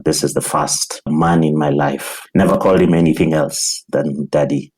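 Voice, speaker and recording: An extremely deep-voiced man with a Kenyan accent and a husky tone. He is a podcast guest sharing personal recollections, speaking in a measured way and repeating phrases for emphasis. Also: steady tone, a little mellow. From Nairobi, Kenya.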